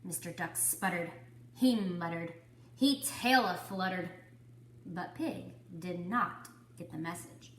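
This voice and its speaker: A woman reading a children's story to kindergarteners, with deliberate, dramatic phrasing. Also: fairly low pitch, echoey room, a little hiss or hum. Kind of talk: expressive children's story narration.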